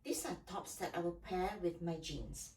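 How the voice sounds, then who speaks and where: Low-voiced woman vlogger, distant mic. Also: moderate pace, darkish tone. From Malaysia. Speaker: solo travel vlogger. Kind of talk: casual packing vlog. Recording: distant mic, slight background noise.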